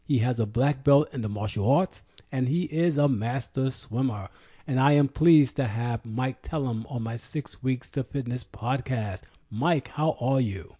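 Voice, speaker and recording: A man hosts an interview podcast, reading scripted introductions and asking easy, friendly questions. His voice is mellow and dark in tone. The recording sounds dull and lo-fi. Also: mid-range voice, unhurried, breathy edge. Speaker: podcast host. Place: New York. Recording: dull lo-fi audio.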